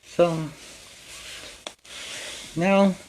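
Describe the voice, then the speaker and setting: A man's voice: high-pitched, level tone, drawn-out words, darkish tone, rough recording. An American man plays a friendly neighborhood superhero hosting story time. He addresses young viewers earnestly and explains things simply. Faint noise sits behind his voice. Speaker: storytime show host in character as a superhero.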